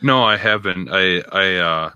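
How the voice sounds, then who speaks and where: A man co-hosting a casual podcast, tired from little sleep, halting and searching for words. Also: low-pitched, bright, forceful tone, clean tone, no room sound, lo-fi sound. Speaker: podcast co-host. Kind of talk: casual chat.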